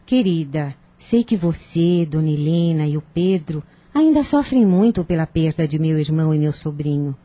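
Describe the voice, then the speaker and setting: A genteel, controlling older aunt in a period radio drama. Her lowish voice is husky and very mellow, formal and insistent in its persuasion. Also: expressive, normal speed, few pauses, no echo. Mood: grave, persuasive. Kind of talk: radio soap opera acting.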